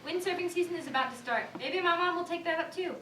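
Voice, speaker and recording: A woman's voice: extremely high pitch, quick pace. A woman playing an eager, perky teenage girl in a staged reading of a cartoon script. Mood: cheerful. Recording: echoey room, distant mic, faint noise.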